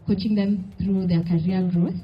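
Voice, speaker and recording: A woman's voice: medium pitch, fairly even intonation, normal speed. A woman speaking on a panel, conversational with frequent "you know, like". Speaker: panelist, head of talent. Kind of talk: panel talk. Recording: dull lo-fi event audio.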